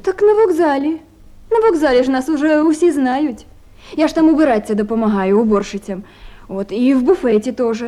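A woman's voice: sits high, animated, normal speed, warm tone. An actress playing a poor, anxious village mother with a Ukrainian accent, rambling and plaintive in a naturalistic radio drama. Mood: flustered, scolding.

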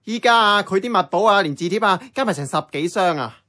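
A man's voice: sits high, expressive, fast, sharp tone. An actor with a Hong Kong Cantonese accent playing a casual, blunt young man in radio drama dialogue. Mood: blunt, exasperated.

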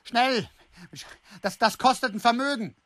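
A man's voice: extremely high pitch, a little slow, fairly bright tone, slight background noise. An actor in a German radio drama playing an agitated, insistent man who blurts and fumbles for words. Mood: impatient.

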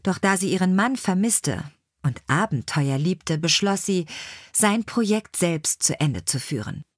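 Lower-pitched woman narrator, dry studio sound. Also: lively intonation, measured pace, no room sound. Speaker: audiobook narrator. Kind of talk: scripted storybook narration.

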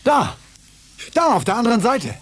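Nervous engineer, lively swinging voice. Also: male voice, extremely high pitch, moderate pace, noisy background, exaggerated voice. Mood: curious. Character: Antonin, nervous, doubting male electrical engineer. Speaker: radio drama actor. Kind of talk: German science fiction radio play acting.

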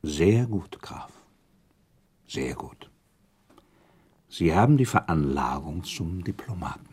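Casting: thoughtful radio-drama prince, low register, lively swooping intonation, formal and courtly, full of asides. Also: male voice, slow, slightly rough, full character voice. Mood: approving. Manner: formal, elaborate, with inserted asides. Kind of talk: German radio drama acting.

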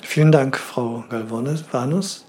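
A man's voice: medium pitch, slow, a little mellow, close to an everyday voice. A man playing a polite, probing interviewer who puts formal questions in a satirical news interview.

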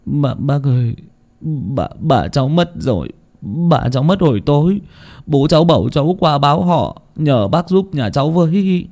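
A man's voice: fairly high pitch, animated, takes it slowly, few pauses, warm tone, pitched above the performer's own voice. A whimpering young villager in his twenties played by a male storyteller, terribly afraid of ghosts. His voice swings upward as he stammers and pleads tearfully, in a spoken horror tale.

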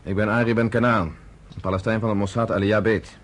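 A man's voice: on the low side, fairly even intonation, brisk, a little hiss or hum, close to an everyday voice. An actor playing a firm, shrewd, commanding male leader in a radio drama. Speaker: underground organizer.

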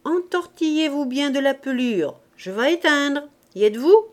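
A woman's voice: high-pitched, animated, normal speed, pitched above the performer's own voice. A woman reading aloud, voicing a cheeky, streetwise Paris urchin boy who talks in brash, bossy slang.

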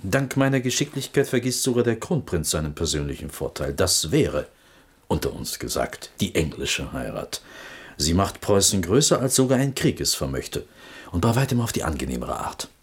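An actor playing a scheming, corrupt court minister in a radio play, with a sly, insinuating manner. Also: male voice, sits low, very animated, normal speed, slightly rough, exaggerated voice.

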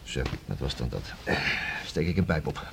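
A man's voice: very deep voice, expressive, normal speed, gravelly, background noise, exaggerated voice. A man playing a jovial, teasing police detective in a radio drama, with a mock-pompous way of talking. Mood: amused.

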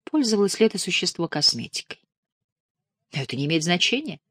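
A woman reads an audiobook in a measured, expressive way. Her voice sits on the low side and is captured close on a studio mic. The sound is completely dry, with no room at all. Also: slightly rough, no room sound. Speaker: audiobook narrator. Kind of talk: scripted audiobook narration.

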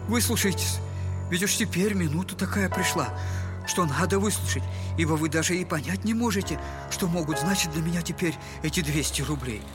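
A man plays a poor retired captain, a loving father with a wounded, humble air. His voice sits rather high, and he talks in an effusive, halting, old-fashioned servile manner. Music plays clearly behind this audio drama monologue. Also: close to an everyday voice. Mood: agitated, pleading.

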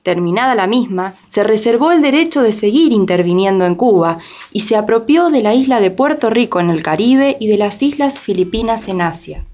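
A woman's voice: medium pitch, expressive, moderate pace, hardly any pauses, a little mellow, close to an everyday voice. A woman delivering scripted historical narration as the narrator of a radio drama. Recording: dull lo-fi audio.

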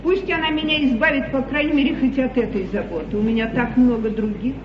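A woman's voice: high-pitched, fairly quick, echoey room, close to an everyday voice. An actress playing a fretful yet imperious queen in a theatrical, declamatory mid-century stage comedy style. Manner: grand, declamatory, plaintive. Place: large theatre stage, echoing. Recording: muffled, hissy 1950s theatre broadcast, distant.

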